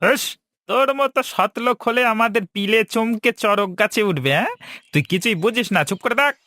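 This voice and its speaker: A man's voice: sits high, lively intonation, normal speed, sharp tone, no echo. A man voicing a greedy, bullying village doctor in a cartoon, scolding and scornful. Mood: sly, scornful. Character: greedy crooked village clinic doctor, bullying elder brother.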